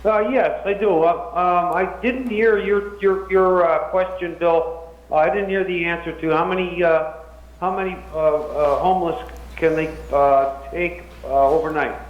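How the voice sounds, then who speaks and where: A man, a council member, haltingly asking questions at a public meeting. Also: high-pitched, steady tone. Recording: dull, echoey meeting room sound.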